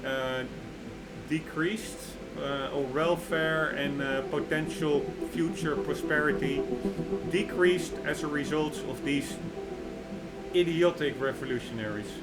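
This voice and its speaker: Halting man over loud music. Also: fairly high pitch, rough recording. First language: Dutch. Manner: halting, searches for words with many fillers; opinionated, gets worked up. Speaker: gaming video creator. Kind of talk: reading game lore aloud with commentary. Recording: loud music bed under voice. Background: loud game music.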